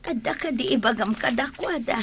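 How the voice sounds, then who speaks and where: A woman playing an exasperated old village grandmother with a husky voice, scolding in an Ilocano radio comedy drama. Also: high-pitched, a little fast. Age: older.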